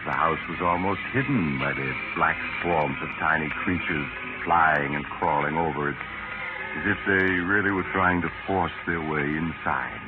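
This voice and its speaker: A 1940s radio recording with loud music and a tinny sound carries an eerie storyteller. His very deep voice is measured, formal and ominous. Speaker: narrator. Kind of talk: 1940s radio suspense narration.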